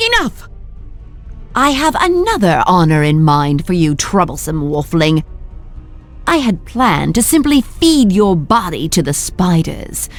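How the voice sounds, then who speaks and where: Slow, haughty, cruel villainess. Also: female voice, fairly low pitch, big pitch swings, faint music behind. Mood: cold menace. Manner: condescending, drawn-out, imperious. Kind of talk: video game villain acting.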